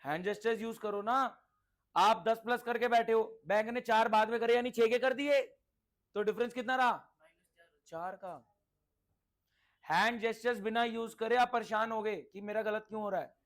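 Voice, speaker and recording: Male teacher, notably high voice; interactive lesson delivery with repetition, check-in questions and English terms mixed in. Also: moderate pace, fairly bright tone. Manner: instructive, repeats points, checks understanding with questions. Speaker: accountancy teacher.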